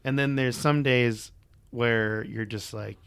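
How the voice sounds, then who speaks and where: A man's voice: mid-range voice, steady tone, slow, creaky, fairly bright tone. A man co-hosting a podcast, chatting loosely and joking, cursing now and then, his voice a bit worn. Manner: loose and joking, sprinkled with swearing. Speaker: podcast co-host. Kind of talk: casual chat.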